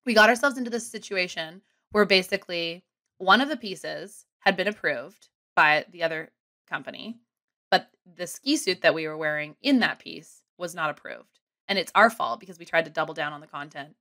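A woman in her thirties telling a long story casually on a podcast from a small home room, animated and rambling. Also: on the low side. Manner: animated, rambling, self-deprecating. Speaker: guest comedian and ski content creator. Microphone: studio mic close up with headphones.

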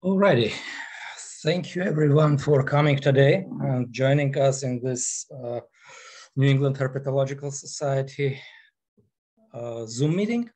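A man in his forties with an Armenian accent talks through an online presentation in a very slow, halting way. His pitch sits in the middle range, and his speech is broken up by frequent fillers. The sound comes close and direct from a headset microphone in a small indoor room. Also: darkish tone. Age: 40s. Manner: unhurried, halting, many fillers. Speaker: guest presenter.

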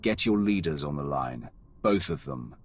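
Brutish alien warlord, extremely low creaky voice, imperious, threatening, game cutscene. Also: male voice, expressive, moderate pace, soft background music. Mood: cold threat. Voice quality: deep, gravelly. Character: menacing Sith warrior commander, brutish alien man, arrogant and cold. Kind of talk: video game cutscene acting.

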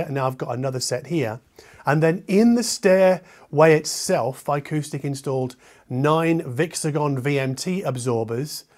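A man's voice: on the high side, darkish tone. A middle-aged man hosting an audio channel, explaining things to camera in a conversational, emphatic way with muttered asides, recorded on a lavalier mic in a living room. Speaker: audio review channel host. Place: treated living room indoors. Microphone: omnidirectional lavalier.

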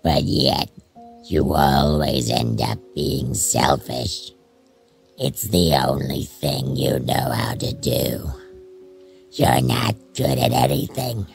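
Very deep, gravelly, menacing voice. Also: male voice, expressive, soft background music, full character voice. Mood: cruel, accusing. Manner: slow, accusing, relentless. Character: menacing, taunting inner voice, a deep sinister presence. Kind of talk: fan audio drama acting.

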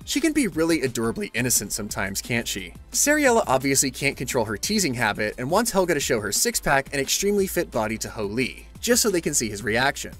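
A man's voice: medium pitch, big pitch swings, fast talker, few pauses, fairly bright tone, soft background music. A man reading a scripted comic story recap, tossing in wry, playfully sarcastic asides. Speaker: recap narrator.

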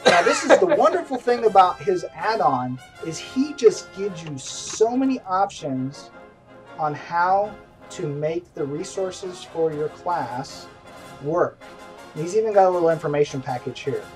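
A man's voice: high voice, expressive, measured pace, distant mic, rough recording. A middle-aged man hosting a casual game stream, chatty and self-deprecating, recorded at home. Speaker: stream host. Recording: voice from away from the mic, faint music behind.

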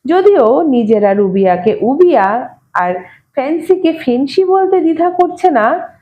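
A woman reading an audio story in an expressive, measured, wry narrating manner. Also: a little high, lo-fi sound. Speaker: story reader.

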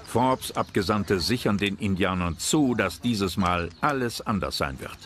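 A man's voice: fairly low pitch, lively intonation, measured pace, a bit bright, slight background noise. A man reading scripted historical documentary narration. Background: music.

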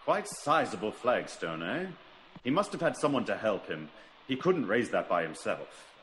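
An actor playing a shrewd, self-assured gentleman detective in a radio mystery, analytical and clipped in his remarks. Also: on the low side, sing-song, normal speed, slight background noise, rough recording. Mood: musing. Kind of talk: radio mystery drama acting.

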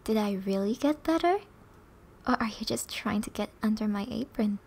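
A woman playing a flirty, teasing, affectionate wife in an ASMR roleplay, soft and often breathy, with playful, intimate delivery. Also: mid-range voice, animated, normal speed, a little hiss or hum.

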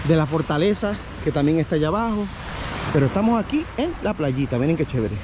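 Entusiasta vlogger puertorriqueño en kayak. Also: male voice, on the high side, fast talker, noisy background. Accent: Puerto Rican Spanish. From Puerto Rico. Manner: excited, cheering, speaks to viewers. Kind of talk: upbeat travel vlog narration. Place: outdoors on a kayak on open bay water. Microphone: action camera on the kayak, sometimes held at arm's length.

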